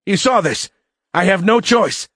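A man's voice: on the high side, expressive, normal speed, fairly bright tone, dry recording, studio quality, pitched above the performer's own voice. A man voicing a furry young alien cadet leader in an audiobook, a blustering, easily rattled bully. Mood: panicked, threatening. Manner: gloating, blustering. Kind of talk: audiobook character acting.

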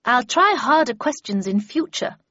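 A woman's voice: on the high side, big pitch swings, normal speed, pushed and bright, dry recording, muffled recording, pitched above the performer's own voice, heavily acted. A woman voicing an imperious, irritable older woman mentor with a brusque, scornful, commanding manner in an audiobook. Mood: angry, impatient.

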